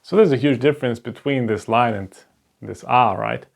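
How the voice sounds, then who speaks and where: A man's voice: mid-range voice, moderate pace, vocal fry. A man with a German accent, a native German speaker, patiently teaching step by step and checking in with "right?". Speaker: Hebrew teacher. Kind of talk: slow explanatory lesson at a board.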